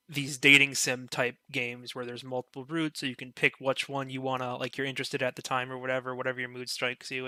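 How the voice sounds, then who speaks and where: Flat-voiced, bright-toned rambling man. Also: medium pitch, dry recording. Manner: rambling, many fillers like "you know". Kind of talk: casual podcast discussion.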